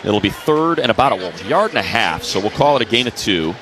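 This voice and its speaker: Male football play-by-play announcer, low pitch, clipped descriptive delivery, crowd roar, background noise. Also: sing-song, a little fast. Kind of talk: live American football radio play-by-play commentary. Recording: crowd and ambient noise behind. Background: stadium crowd noise.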